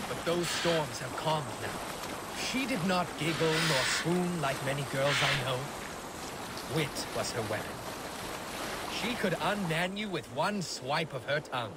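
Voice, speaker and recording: An actor playing a proud young Viking warrior, speaking in a measured, wistful and poetic manner in a video game. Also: male voice, a little high. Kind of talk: dramatic monologue in a video game. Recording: loud water and boat noise. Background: rushing water, boat ambience.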